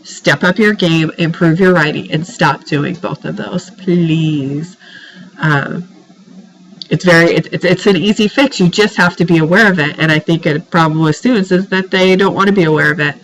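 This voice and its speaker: A woman's voice: fairly low pitch, normal speed, rough recording. A woman teacher with a congested, cold-stuffed voice giving informal recorded feedback to students in an exasperated, pleading, emphatic manner. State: has a head cold. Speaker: writing teacher.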